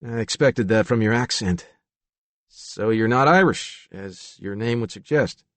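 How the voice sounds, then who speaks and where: A man's voice: on the low side, measured pace, dry recording, close to an everyday voice. A man voicing a cocky young crime boss with a commanding, businesslike manner in an audiobook. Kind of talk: audiobook character dialogue.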